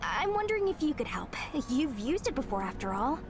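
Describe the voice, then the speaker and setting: A woman's voice: high voice, sing-song, a little fast, background noise. An actress voicing a cheerful young woman engineer in a video game, breezy and coaxing as she asks a friend for help. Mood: friendly.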